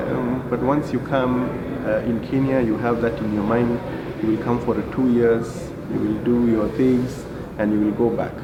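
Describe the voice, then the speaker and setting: Indian-born hotel manager, echoey room. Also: male voice, mid-range voice, flat delivery, dull audio, heavy background noise. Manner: unhurried, many fillers and restarts. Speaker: hotel group general manager. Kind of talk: interview answers. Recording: echoey room with loud ambience.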